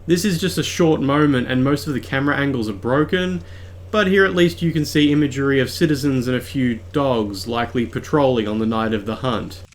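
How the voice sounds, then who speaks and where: A man giving a measured, explanatory voice-over for a game video. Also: mid-range voice. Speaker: video essayist. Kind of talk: scripted game commentary voice-over.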